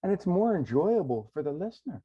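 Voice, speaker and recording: A man's voice: high-pitched, a little fast, breathy edge. A man hosting an interview, chatting casually and telling stories with frequent restarts. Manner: relaxed storyteller, many restarts. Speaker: podcast host. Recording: dull lo-fi sound.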